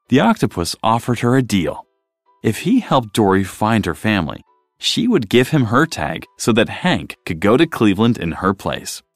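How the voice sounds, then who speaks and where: Very animated male storybook narrator. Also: on the low side, no room sound, clean studio sound. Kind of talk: expressive children's read-aloud narration.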